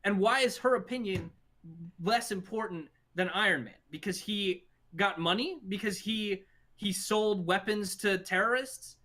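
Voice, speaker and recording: High, bright-voiced man arguing his point. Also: measured pace. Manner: argumentative, insistent. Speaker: discussion show panelist. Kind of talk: casual heated debate.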